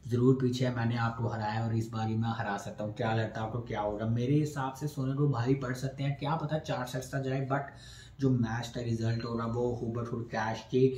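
A young man talks straight to camera, breaking down a tennis match and counting through the scores in a level, flat voice. He is recorded in a small indoor room with a roomy echo. A faint ambient hum sits behind him. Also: medium pitch, fairly quick. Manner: talking straight to camera, gesturing with his hands; runs through scores one by one in short phrases. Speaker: tennis video analyst. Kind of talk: casual post-match analysis. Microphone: camera in front, upper body framed.

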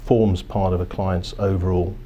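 A low-voiced man in his fifties explains business matters in a steady, corporate way and keeps circling back to restart his phrases. His tone is dark and fairly flat. He is recorded seated in a quiet office with faint ambience behind him. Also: normal speed, a little hiss or hum. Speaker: financial services executive. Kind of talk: business interview. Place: office, indoors.

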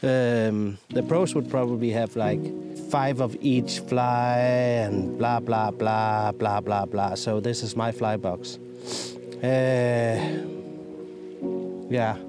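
A man in his thirties hosting a fishing vlog outdoors on a sea shore, talking in a relaxed, chatty, self-mocking way into a lapel mic. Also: medium pitch, takes it slowly, over music. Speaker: fishing show host.

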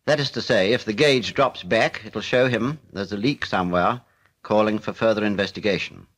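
A British man narrating a 1940s military instructional film in a formal, measured, matter-of-fact way. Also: on the low side, a bit bright, poor audio.

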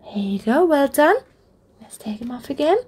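A woman reading a picture book aloud for toddlers, with deliberate, expressive phrasing and encouraging asides. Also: sits high. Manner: deliberate, expressive phrasing aimed at small children. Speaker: children's storybook reader, channel host.

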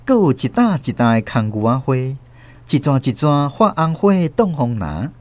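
A man's voice: mid-range voice, big pitch swings, moderate pace, no room sound. A man with a Taiwanese accent narrating a scripted radio drama. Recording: faint vehicle noise behind. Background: car or bus ambience.

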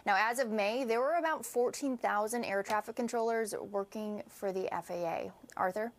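A woman's voice: medium pitch, normal speed. A woman in her thirties delivering a broadcast news report as a TV reporter. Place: TV newsroom, indoors.

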